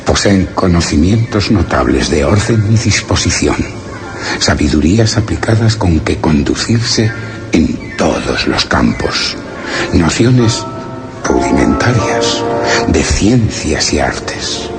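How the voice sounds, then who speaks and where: An actor playing a solemn, anxious chronicler in a radio horror drama, grave and formally literary, his phrase endings turning breathy. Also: low voice, expressive, slow pace, full character voice. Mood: solemn, confiding. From Spain. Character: solemn, anxious male chronicler of a mysterious encounter. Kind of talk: radio horror drama, first-person narration of a written account. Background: music.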